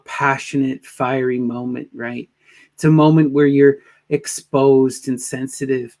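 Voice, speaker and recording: A man hosting a live tarot stream, talking in a slow, gentle way with soft trailing endings, sniffly from allergies. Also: medium pitch. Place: home in a wooded area.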